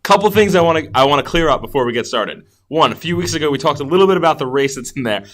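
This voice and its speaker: Guy podcast cohost, rambly and excited movie chat, keeps restarting his sentences. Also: a little high, rapid-fire. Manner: enthusiastic, rambling with repeated false starts. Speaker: podcast co-host. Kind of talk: casual movie review chat.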